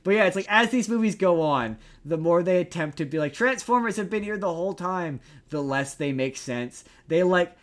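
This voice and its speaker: A man co-hosting a podcast, chatting casually about pop culture in an excitable, stammering, emphatic way with casual swearing. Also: high voice, normal speed, hardly any pauses. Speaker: podcast co-host.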